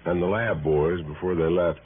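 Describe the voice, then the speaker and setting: Deep-voiced laconic American police sergeant, played by a man. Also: moderate pace, close to an everyday voice. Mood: matter-of-fact. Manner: folksy, colloquial, offhand. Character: laconic, matter-of-fact male police sergeant. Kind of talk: old radio detective drama acting. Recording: narrow, faintly noisy old radio recording.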